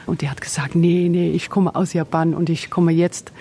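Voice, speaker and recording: A woman's voice: fairly low pitch, level tone, moderate pace, dark tone, slightly rough. Dutch-accented woman telling an anecdote. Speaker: museum receptionist being interviewed. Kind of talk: casual anecdote.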